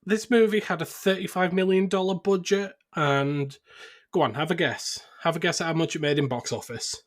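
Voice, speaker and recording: Male podcast host, fairly high voice, casual film chat read partly from notes. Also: moderate pace.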